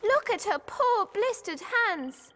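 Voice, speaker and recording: A woman voicing a tender, earnest young prince in an animated fairy tale. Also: very high voice, slow pace, full character voice. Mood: worried, tender. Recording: long reverb, faint noise.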